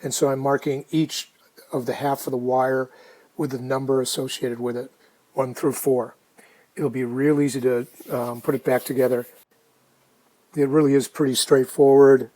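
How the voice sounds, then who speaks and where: Mellow man, casually narrating a DIY project. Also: mid-range voice, level tone, normal speed, low-quality recording. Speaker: hobbyist restorer narrating a project.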